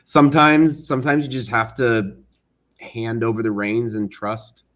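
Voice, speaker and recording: A man chatting casually as an interview guest, halting and earnest, on a dull lo-fi recording. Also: mid-range voice, flat delivery, fairly bright tone. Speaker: interview guest, studio co-manager. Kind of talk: casual chat. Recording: dull, lo-fi audio.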